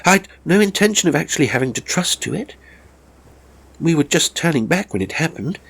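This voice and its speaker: A man voicing a flustered, defensive gentleman climber in an audiobook, hurried and halting as he explains himself. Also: on the high side, big pitch swings, creaky, slightly rough, pitched above the performer's own voice, full character voice. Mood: anxious, defensive. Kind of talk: audiobook character acting.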